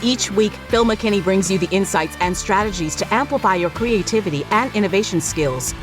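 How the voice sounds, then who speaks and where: A woman's voice: mid-range voice, brisk. A woman announcer reading a polished, scripted introduction to a show. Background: music.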